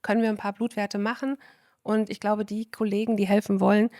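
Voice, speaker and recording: An emphatic woman speaking as a doctor on a podcast, explaining at length in a relaxed, chatty way. Her voice is recorded dry and close, with almost no room sound. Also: mid-range voice, fairly quick, no echo. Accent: German. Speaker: physician guest. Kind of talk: casual podcast conversation.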